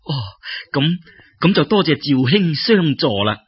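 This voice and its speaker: Guy doing a shy honest young scholar in a storybook, courteous, raspy, bit of a dull recording. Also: on the high side, big pitch swings, a little slow, no room sound, voice pushed up, exaggerated voice. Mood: grateful. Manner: hesitant, humble, courteous.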